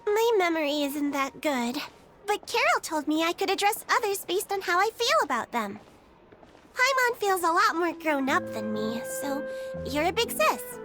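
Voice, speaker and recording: A woman's voice: very high-pitched, very animated, moderate pace, over loud music. A woman voicing a sweet, childlike magical creature in a game, guileless, eager and earnest. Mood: innocent, pondering. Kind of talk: game character acting.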